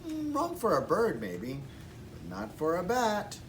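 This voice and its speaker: A man voicing a loud, inquisitive, self-assured bat in a storybook reading. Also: high voice, wildly swooping pitch, a little slow, noisy background, pitched above the performer's own voice, full character voice. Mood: matter-of-fact. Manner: loud, questioning, insistent. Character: loud, brash, inquisitive bat, sure of itself.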